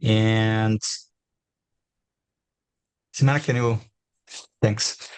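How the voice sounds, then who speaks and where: A man with a Brazilian accent presenting in a webinar, talking haltingly with many fillers and restarts. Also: on the low side, level tone, clean tone, no room sound, low-quality recording. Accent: Brazilian Portuguese. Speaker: webinar co-host, innovation trainer.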